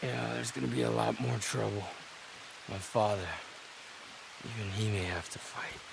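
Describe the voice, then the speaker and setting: An actor playing a proud, defiant young warrior, a chief's son, with a sardonic manner in a video game drama. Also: male voice, on the low side, moderate pace, creaky, background noise. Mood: grim, stoic.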